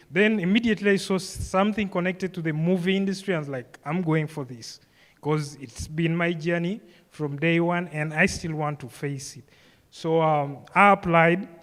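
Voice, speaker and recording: A man's voice: fairly high pitch, a bit bright. A young man with a Ugandan accent giving an informal personal speech to an audience, telling his story conversationally. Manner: conversational storytelling with frequent "you know" and "like". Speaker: programme graduate giving a speech. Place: event hall, live on stage. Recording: reverberant hall sound.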